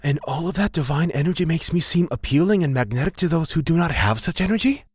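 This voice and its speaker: A man's voice: on the high side, lively intonation, fast talker, slightly rough, dry recording. A man playing a humble, laid-back elf wanderer in a fantasy audio drama, reflective and probing. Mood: probing, curious.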